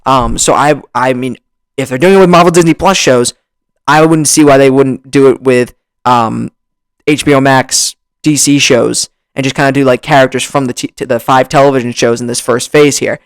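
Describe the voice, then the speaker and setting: A man hosting a casual vlog, talking excitedly with lots of restarts, his voice slightly hoarse from long recording, close on a desk mic. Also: mid-range voice, normal speed, no echo. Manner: excitable, rambling with many restarts. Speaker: YouTuber. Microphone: desk mic, bumped at times.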